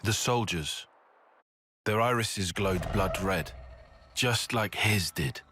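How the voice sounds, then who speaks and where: An actor playing a cautious, analytical young tactician in a video game, speaking in a formal, logical, wry way. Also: male voice, fairly low pitch, slow pace, noisy background. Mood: measured, grave. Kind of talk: video game cutscene voice acting. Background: music.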